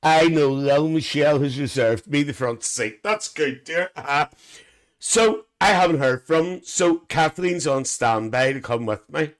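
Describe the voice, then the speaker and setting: A man's voice: a little high, unhurried, lightly acted. A man playing a gossipy older aunty who hosts a live stream, chatting bawdily with viewers and calling them dear. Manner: bawdy, gossipy, calls viewers dear, laughs a lot. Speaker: livestream host. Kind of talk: live-stream comic chat reading comments.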